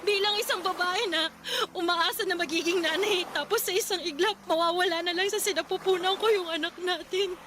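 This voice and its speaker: An actress playing a grieving, stubborn wife in a Filipino radio drama, emotional and pleading. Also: female voice, extremely high pitch, steady tone, fairly quick, few pauses, background noise. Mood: tearful, hurt. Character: grieving wife who cannot bear children, stubborn, self-sacrificing.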